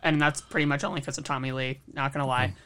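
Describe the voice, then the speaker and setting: A woman's voice: very deep voice, flat delivery, fast, fairly bright tone. A woman co-hosting a casual podcast chat, telling stories and acting out what people said. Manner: chatty storyteller who acts out quoted remarks. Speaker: podcast co-host.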